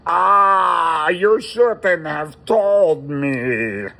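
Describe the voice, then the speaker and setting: Flustered, high-pitched captor. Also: male voice, creaky, pushed and bright, exaggerated voice. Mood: flustered, menacing. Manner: exasperated, halting, pleading bossiness. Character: flustered male captor with an accent, exasperated, squeamish.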